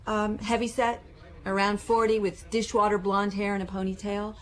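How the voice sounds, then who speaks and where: A woman's voice: medium pitch, level tone, moderate pace, natural voice, poor audio. A woman playing a chatty, hesitant waitress in her thirties giving a statement in a radio drama. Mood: chatty, recalling. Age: 30s. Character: thirty-six-year-old working-class waitress giving a police statement.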